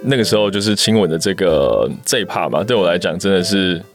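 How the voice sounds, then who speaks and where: A man's voice: sits low, lively intonation, a little fast, faint music behind. A man with a Taiwanese accent co-hosting a casual chat, self-deprecating and often repeating his words. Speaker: podcast co-host.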